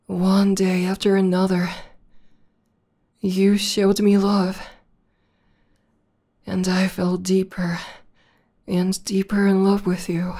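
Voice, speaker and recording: A woman playing a tough tomboy demoness who is a devoted lover, speaking softly and haltingly in an intimate, breathy ASMR roleplay. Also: medium pitch. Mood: loving. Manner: soft, breathy, halting and earnest delivery, often dropping to whispers.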